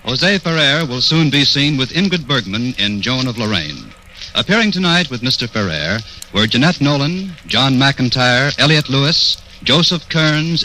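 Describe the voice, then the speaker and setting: A man reading as a formal, measured announcer in scripted 1940s radio style. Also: medium pitch, pushed and bright, a little hiss or hum, low-quality recording. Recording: vintage radio broadcast recording.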